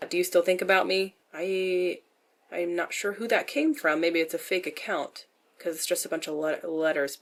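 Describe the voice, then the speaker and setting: A woman's voice: on the low side, a bit bright. A young adult woman with a nasal voice answers questions in a casual vlog style, candid and self-deprecating, with dry jokes and swearing. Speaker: vlogger.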